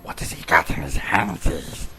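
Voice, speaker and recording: A man voicing a slimy, hungry cave creature who wheedles and threatens in raspy, drawn-out hisses as he talks to himself in a storybook reading. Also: extremely low pitch, pushed and bright, soft background music, full character voice. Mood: suspicious, curious. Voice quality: hissing, raspy, creaky.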